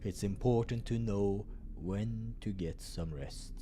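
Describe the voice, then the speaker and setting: Man voicing a low, slow, gentle big doctor. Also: a little hiss or hum. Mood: gentle, approving. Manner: slow, measured, reassuring. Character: big gentle male doctor, broad-bodied, calm, quietly melancholic.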